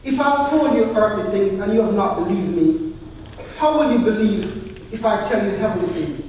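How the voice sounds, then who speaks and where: A man playing a solemn teacher with calm authority in a live church drama, reciting scripture in emphatic, measured phrases. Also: high voice. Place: church hall, recorded live. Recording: very echoey hall, distant mic, faint noise.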